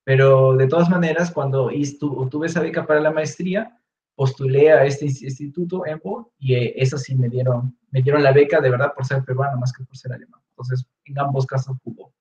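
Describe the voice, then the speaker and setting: A young man with a Peruvian Spanish accent, relaxed and explanatory, answering questions in an online talk with many fillers and restarts. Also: medium pitch, brisk. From Peru. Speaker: guest speaker, researcher. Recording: echoey room, dull lo-fi audio.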